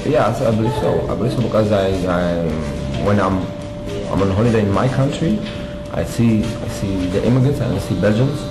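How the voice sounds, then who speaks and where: Low-voiced man over background music. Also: normal speed, echoey room, rough recording. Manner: thoughtful, repeats words as he goes. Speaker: interviewee.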